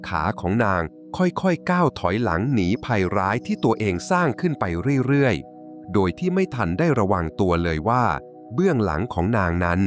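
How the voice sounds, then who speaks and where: A man narrating a folk tale from a script in a dramatic, suspenseful, expressive way. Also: fairly low pitch, moderate pace. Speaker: storyteller. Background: music.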